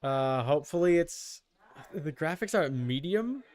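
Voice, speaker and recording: A man's voice: on the high side, expressive, moderate pace, a little mellow. A man giving casual live gaming commentary as a streamer, informal, with muttered asides and mild swearing. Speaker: video game streamer.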